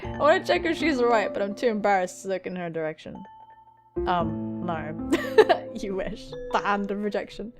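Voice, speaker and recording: An excitable, giggly young woman reading a visual novel aloud and adding dramatic, sweary commentary as a gaming host. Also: mid-range voice, normal speed. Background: music.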